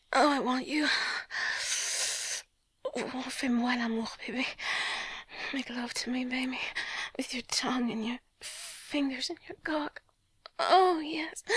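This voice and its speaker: A woman in an intimate audio roleplay, whispering breathily with soft sighs and slipping into French phrases. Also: high-pitched, breathy edge, no room sound.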